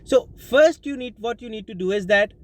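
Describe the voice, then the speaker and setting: A young man with a Pakistani accent and Urdu as his first language talks through study tips in a casual, rambling vlog. His voice sits high for a man, and he fills his talk with restarts and apologies. He records from the driver's seat of his car. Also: normal speed. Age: young adult. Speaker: student vlogger. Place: inside a car.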